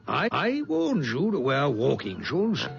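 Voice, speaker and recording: A gravelly old colonel, hearty and coaxing, his voice riding high and swooping lively through each line. The sound is muffled, an old radio drama with music under it. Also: slow, full character voice. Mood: jovial. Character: genial, sly older male colonel. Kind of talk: 1970s radio drama acting.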